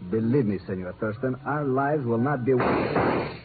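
A man's voice: medium pitch, a little slow, lightly acted. An actor playing an anxious, grave man, formal and emphatic, in 1950s radio drama. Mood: urgent. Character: grave, urgent Latin plantation man, anxious warner. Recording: narrow, noisy old radio recording.

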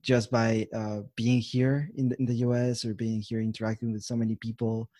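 A man hosting a podcast and interviewing a guest in a relaxed way, with many fillers and "right?"s. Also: medium pitch, normal speed, no room sound. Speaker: podcast host.

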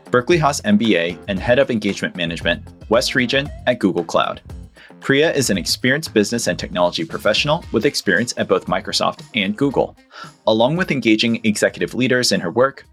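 Low-voiced friendly male podcast host. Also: a bit bright, husky. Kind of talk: interview hosting.

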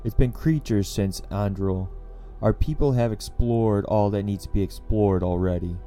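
A stern older male teacher from another world, acted by a man, with a very dark, low voice. He lectures with weary disapproval, dismissing youthful notions, in a comic-dub style over faint music. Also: moderate pace, natural voice. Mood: exasperated, stern. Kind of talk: comic dub character acting.

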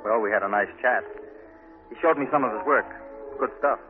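A male actor plays a troubled idealist in a 1940s radio play. His voice is on the low side and earnest, coming through a thin, narrow-band broadcast recording. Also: brisk, soft background music, lightly acted. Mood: bitter, wry. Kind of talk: 1940s radio drama acting. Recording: tinny, band-limited old radio broadcast.

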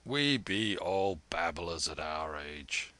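A man voicing an old, gruff and hearty veteran soldier with blunt, archaic speech in a storybook reading. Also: sits low, lively intonation, slow pace, sharp tone, voice pushed down, heavily acted.

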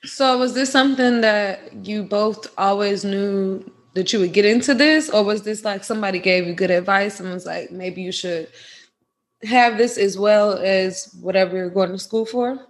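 A woman's voice: medium pitch, moderate pace. A woman, hosting a podcast interview in a chatty, laughing way. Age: 20s. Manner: laughs often, chatty, uses fillers. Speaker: podcast host. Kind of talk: casual interview chat.

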